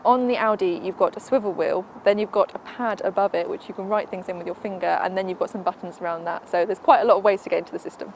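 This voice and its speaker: A woman, a car reviewer giving a brisk, matter-of-fact verdict on an in-car system. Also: medium pitch, creaky, slight background noise. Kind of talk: product review.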